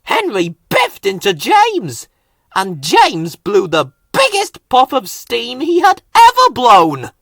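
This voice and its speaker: Slow, highly animated male storybook narrator. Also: no room sound, clean studio sound. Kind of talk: expressive children's storybook reading.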